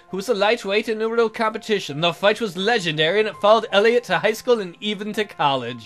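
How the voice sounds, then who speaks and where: A man's voice: sits high, a bit bright. A man hosting a let's play, reading a visual novel aloud in a jokey, theatrical, exaggerated way with comic asides.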